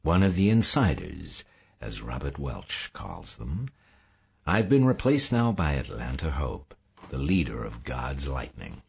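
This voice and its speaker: Man voicing a soft, hushed, soothing woman. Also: rumbling low, wildly swooping pitch, a little slow, vocal fry, husky, pitched below the performer's own voice, exaggerated voice. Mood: grim confession. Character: gentle, grave woman soothing a weeping man. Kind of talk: audiobook character acting.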